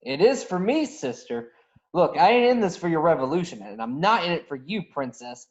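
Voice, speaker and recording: A woman's voice: deep voice, sing-song, moderate pace, a little mellow, highs cut off. A woman playing a cocky, self-interested smuggler pilot in a script read, breezy and teasing, often trailing off. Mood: dismissive. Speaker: actor in a table read. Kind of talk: virtual script table read.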